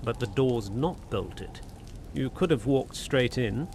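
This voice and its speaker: Sardonic young lord, animated delivery. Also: male voice, medium pitch, slow, a little hiss or hum. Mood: challenging. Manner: wry, challenging. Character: bold, sardonic young gentleman suitor, a lord. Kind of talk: radio suspense drama acting.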